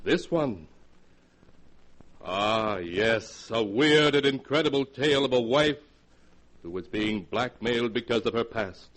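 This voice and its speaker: An actor playing an ominous storyteller, narrating 1940s radio horror in a theatrical, portentous way. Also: male voice, mid-range voice, expressive, a little slow, fairly bright tone. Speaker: narrator and host. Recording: vintage radio with music behind.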